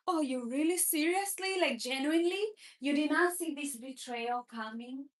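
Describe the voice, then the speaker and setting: Young Kenyan woman, high voice, livestream host, scornful and amused. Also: moderate pace. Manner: mocking, laughs often, answers chat. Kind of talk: casual political livestream chat.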